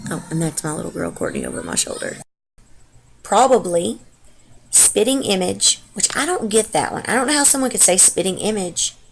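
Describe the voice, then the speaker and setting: Young woman, strong Texas accent, gravelly fry, loose rambling home-vlog chat, webcam mic, faint hiss. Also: medium pitch, sing-song, moderate pace, poor audio. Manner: chatty and rambling, many fillers. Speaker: stay-at-home mom vlogger. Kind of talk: casual unscripted vlog chat. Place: home, partly the living room. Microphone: computer webcam mic.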